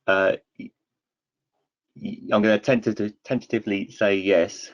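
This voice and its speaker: A man's voice: lowish voice, flat delivery, moderate pace. A man on a webinar panel answering technical questions hesitantly, with restarts and tentative wording. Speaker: surveying equipment expert. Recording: dull lo-fi call audio.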